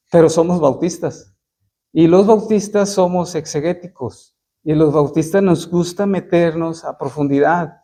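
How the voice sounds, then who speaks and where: A man preaching a Bible sermon, reading verses slowly and explaining them in plain words, often stopping to repeat and restart words. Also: on the high side, dark tone. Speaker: Baptist preacher.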